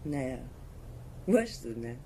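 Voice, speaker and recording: Mellow-voiced, world-weary woman of forty. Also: rumbling low, very animated, takes it slowly, slight background noise, exaggerated voice. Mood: resigned, bitter. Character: weary, bitter wealthy murderess, hard-drinking, around forty. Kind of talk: radio drama acting.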